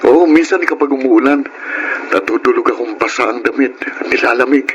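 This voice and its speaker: A young man voicing a devoted, gentle grandson who speaks earnestly and softly about his past. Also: medium pitch, normal speed, lo-fi sound, close to an everyday voice. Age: young adult. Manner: earnest, soft-spoken storytelling. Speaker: grandson who works in the city. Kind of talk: radio-style audio drama acting. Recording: noisy audio drama track.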